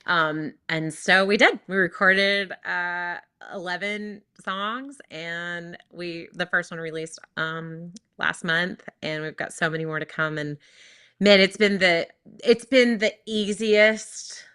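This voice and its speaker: A woman's voice: lowish voice, slow pace, bright, forceful tone, clear, resonant tone. A woman in her thirties, a worship leader and recording artist, talking earnestly in a casual interview, rambling with fillers and restarts.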